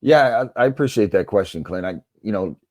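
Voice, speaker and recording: A man's voice: lowish voice, lively intonation, a little fast, a little mellow, no room sound, studio quality. A middle-aged man answering as an interview guest in an earnest, conversational way, with frequent asides. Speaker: interview guest, basketball coach and author.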